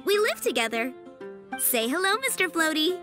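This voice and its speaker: A woman's voice: very high voice, very animated, normal speed, bright, forceful tone, faint music behind, exaggerated voice. A woman voicing a dreamy, friendly little girl in a video game, childlike, giggly and earnest. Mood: cheerful, giggly.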